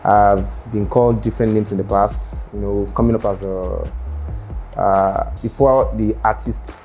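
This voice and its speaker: A young Nigerian man talking about his rap career in an interview, unhurried and full of fillers and restarts. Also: on the low side, steady tone, a little mellow, soft background music, low-quality recording. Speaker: rapper interviewee. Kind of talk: casual interview monologue.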